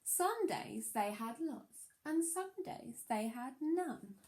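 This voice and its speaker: A woman reading a children's picture book aloud like a primary teacher, expressive, with dramatic emphasis on the rhymes. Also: a little high, slow pace.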